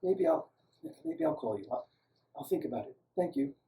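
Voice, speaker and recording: A man voicing a grieving, guarded young man's sister in a story, quiet and wistful. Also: fairly high pitch, fairly quick, a little hiss or hum, natural voice. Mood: tearful. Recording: roomy, echoing, distant microphone.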